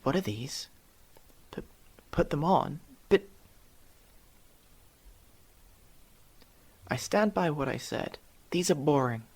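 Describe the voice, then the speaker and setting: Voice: medium pitch, lively intonation, unhurried, a little mellow, husky. A performer voicing a curious, proper young elf mage student in an ASMR roleplay, inquisitive and a little formal, with hesitant stammers. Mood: earnest, indignant. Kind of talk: ASMR roleplay, one-sided dialogue with the listener.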